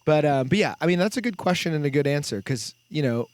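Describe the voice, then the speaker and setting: A man's voice: fairly high pitch, animated, fast. A man hosting a casual podcast conversation, earnestly explaining his points in long, loosely worded runs. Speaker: podcast host.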